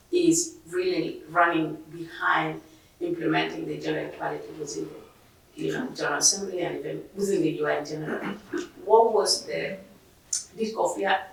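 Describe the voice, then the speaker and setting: A young woman from South Sudan asks a long question from the audience in a low, gravelly voice. She is earnest and hesitant, restarting her sentences. The sound is very echoey, as if caught by a distant mic in a hall. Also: fairly bright tone. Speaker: graduate student asking a question. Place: library event room. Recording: very echoey room, voice from afar.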